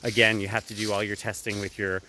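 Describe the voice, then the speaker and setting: A man's voice: lowish voice, little pitch movement, fast, pushed and bright, low-quality recording. A man, giving a casual, conversational travel update as he walks outdoors. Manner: conversational, talking while walking. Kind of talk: casual walk-and-talk vlog update. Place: outdoors, walking through autumn woods. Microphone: phone at arm's length, earbuds worn. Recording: outdoor phone recording with background noise.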